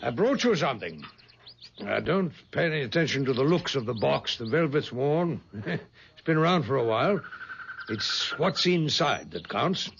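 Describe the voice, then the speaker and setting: An actor playing a proud, pushy colonel in a radio drama, with a blustery, formal manner. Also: male voice, mid-range voice, lively intonation, normal speed, vocal fry, fairly bright tone. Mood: genial, salesmanlike. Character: proud, pushy old colonel peddling pearls, easily huffy. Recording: dull, hissy vintage radio recording.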